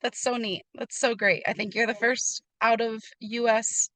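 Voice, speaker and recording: A woman's voice: on the high side, fairly even intonation, moderate pace, pushed and bright. A woman with an American accent, speaking conversationally as the host of a podcast.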